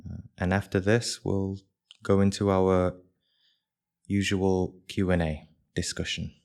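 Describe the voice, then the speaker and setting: A man leading a live guided meditation for a group as its teacher, speaking in measured short phrases with pauses between them. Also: low voice, flat delivery, creaky, a little mellow.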